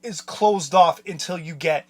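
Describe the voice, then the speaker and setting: Animated man explaining games. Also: on the high side. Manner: animated, laughing, halting explanations. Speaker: podcast host. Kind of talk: casual chat.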